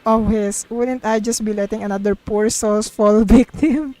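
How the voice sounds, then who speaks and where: A woman's voice: medium pitch, fairly even intonation, unhurried, dark tone. A woman streaming a story game, casually reading the dialogue aloud and reacting with giggly, sweary exclamations. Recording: music playing behind the voice.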